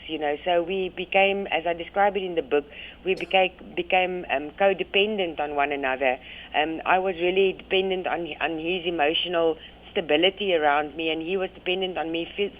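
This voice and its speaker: South african lady calling in, halting speech, restarts a lot, sounds like a phone. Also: on the low side. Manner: hesitant, many ums and restarts. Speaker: guest. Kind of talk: phone interview. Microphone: phone line. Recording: tinny phone line.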